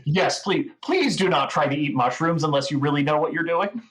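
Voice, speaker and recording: A woman's voice: sits low, brisk, close to an everyday voice. A woman playing a gushing, rambling gnome who is obsessed with mushrooms, in a comedic audio drama. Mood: giddy excitement. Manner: gushing, rambling, wide-eyed enthusiasm.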